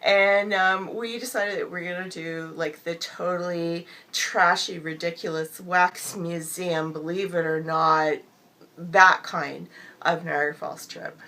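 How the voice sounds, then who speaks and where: Middle-aged woman vlogger, hoarse from a cold, gravelly low voice, upbeat chatty delivery. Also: fairly even intonation, a little slow, sharp tone. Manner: chatty, upbeat, many fillers. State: has a cold, losing her voice, gravelly and whispering. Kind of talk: casual chat to camera.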